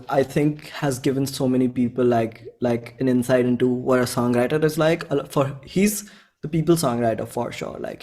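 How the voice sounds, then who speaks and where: A man's voice: medium pitch, a little mellow. Man in his 20s, Indian accent, mid-range voice, casual interview chat, rambling, informal slang. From Bhopal, India. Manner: rambling, informal slang, often quotes own thoughts. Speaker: indie musician and songwriter being interviewed.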